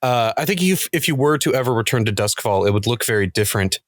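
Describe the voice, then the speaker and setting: A man talking casually as a podcast guest, thinking aloud in a halting, reflective way. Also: mid-range voice, expressive, no room sound, studio quality. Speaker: podcast guest, cast member of a role-playing show.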